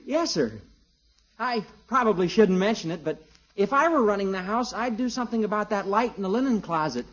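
An actor playing an earnest, politely nagging young son-in-law, deferential and hesitant, in 1950s radio comedy style. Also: male voice, sits high, expressive, fairly quick, fairly bright tone. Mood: deferential, hinting. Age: young adult. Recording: muffled old radio recording.

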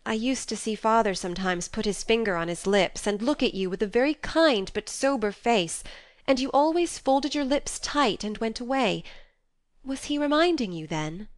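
A woman's voice: fairly high pitch, lively intonation, normal speed, no room sound, pitched above the performer's own voice, natural voice. An impassioned fifteen-year-old girl from a classic storybook, acted by a woman reader. She speaks earnestly and pleadingly in a raised, clean voice. The sound is close and dry. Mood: eager, pleading. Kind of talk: acted audiobook dialogue from a classic novel.

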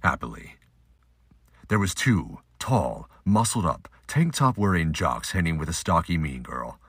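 A man narrating a scripted amateur audio drama with a husky voice. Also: sits low, very animated, a little slow, a bit bright, dry recording, clean studio sound.

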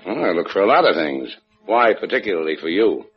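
An actor playing a cool, sardonic American adventurer and hotel keeper in a radio drama, wry and laconic. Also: male voice, deep voice, big pitch swings, brisk, faint music behind. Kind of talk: 1950s radio drama acting. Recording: dull lo-fi old radio recording.

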